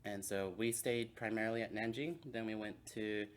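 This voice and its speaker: A man's voice: fairly low pitch, flat delivery, moderate pace. A man from Hong Kong, a native Cantonese speaker, telling stories in a casual podcast chat. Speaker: podcast co-host.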